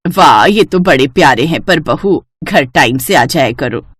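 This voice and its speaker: A woman's voice: sits low, expressive, unhurried, breathy edge, no room sound, pitched below the performer's own voice, full character voice. A woman voicing a nagging, sarcastic Indian mother-in-law in a cartoon story. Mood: softened. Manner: scolding, sarcastic. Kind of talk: Hindi cartoon moral story.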